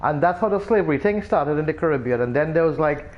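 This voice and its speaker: A man's voice: fairly high pitch, expressive, fast talker, muffled recording. A man from Trinidad with a Trinidadian accent, chatting casually as a streamer and explaining things in a rambling way, full of restarts. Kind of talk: casual chat while gaming.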